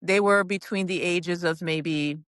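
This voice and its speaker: A woman's voice: on the low side, fairly even intonation, measured pace, a bit bright, clear, resonant tone, dry recording, clean studio sound. A middle-aged woman whose first language is Portuguese, a guest wellness coach talking casually and encouragingly on a podcast, with frequent ums. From Brazil.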